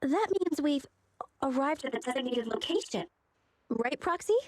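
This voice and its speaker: A sly, self-assured young boss lady from an anime-style game. Her voice is high and dry, breezy and boastful, with a bossy edge. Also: animated, normal speed, dry recording. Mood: brisk, bossy.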